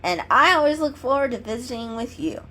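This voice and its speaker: A woman's voice: fairly high pitch, expressive, a little fast, pushed and bright, a little hiss or hum. A woman voicing a friendly male robin in a storybook, explaining things in a chatty, emphatic way. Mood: cheerful farewell.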